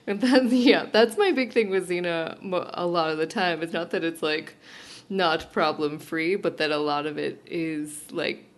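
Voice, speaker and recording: A woman's voice: on the low side, normal speed. A woman co-hosting a podcast, giving her opinions on a TV show in an analytical but casual way. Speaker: podcast co-host. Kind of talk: casual TV discussion.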